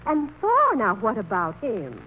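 An actress playing a sensible, reassuring middle-aged aunt in a vintage radio play, folksy and given to repeating herself. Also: female voice, a little high, wildly swooping pitch, measured pace, highs cut off. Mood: soothing. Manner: reassuring, folksy, flustered repetitions. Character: soothing, sensible, chatty small-town aunt. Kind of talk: 1940s radio play acting. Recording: old radio broadcast with faint noise.

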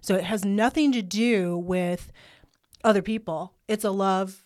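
A woman's voice: mid-range voice, measured pace, darkish tone, dry recording, studio quality. Chatty older woman podcast host. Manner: chatty, laughs and jokes, talks through a cough drop. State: has been sick, clears throat.

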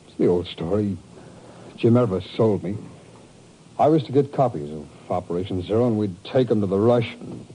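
An actor playing a bitter, fearful, disillusioned turncoat agent with an agitated, self-mocking manner in 1950s radio drama style. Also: male voice, lowish voice, lively intonation, normal speed, warm tone, lightly acted, poor audio. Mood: bitter regret. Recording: old radio recording, faint noise.

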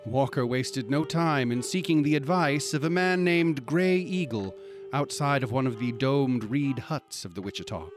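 A man playing the narrator of an eerie frontier tale, telling it in a measured, formal, literary way as scripted radio drama. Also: medium pitch, close to an everyday voice. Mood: grave, storytelling. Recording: music under the voice.

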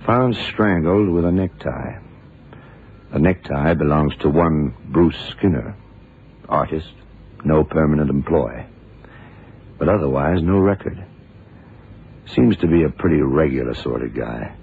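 An actor playing a gruff, sardonic blind private detective in a radio drama, terse and wry, using slangy questions. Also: male voice, extremely low pitch, a little slow, long pauses, full character voice. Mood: businesslike. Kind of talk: 1960s-70s radio drama acting. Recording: muffled phone-like vintage radio, faint noise.

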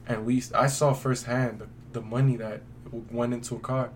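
A man's voice: medium pitch, flat delivery, moderate pace. A man chatting casually as a podcast co-host, speaking in an informal, emphatic, colloquial way. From New York City. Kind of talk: casual conversational podcast chat. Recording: distant mic, faint background noise.

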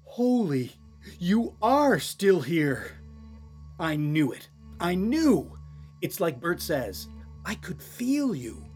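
An actor performing a radio play monologue as an excitable, awestruck person, exclaiming and haltingly repeating words. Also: mid-range voice, breathy edge, faint music behind, full character voice. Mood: awestruck, excited. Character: excitable awestruck person meeting someone long awaited.

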